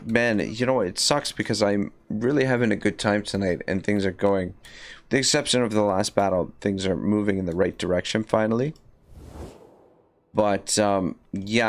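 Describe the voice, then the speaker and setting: A man's voice: fairly low pitch, creaky. A man with a scratchy voice giving rambling, casual commentary while livestreaming a strategy game, with many fillers and restarts. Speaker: livestream gamer.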